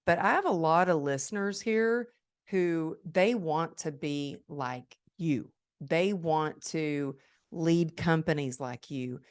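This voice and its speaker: A woman's voice: low voice, takes it slowly, clear, resonant tone. A woman hosting a podcast, full of gushing praise for her guest and leaning on fillers as she frames her questions. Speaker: podcast host. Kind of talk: interview questions.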